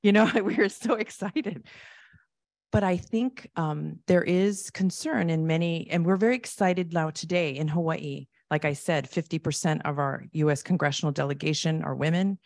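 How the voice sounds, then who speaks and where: A woman keynote speaker answering audience questions in a conversational way, thanking the askers and acting out quick asides. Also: low voice, creaky, darkish tone, dry recording. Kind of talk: conference Q&A answers. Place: large conference hall with audience.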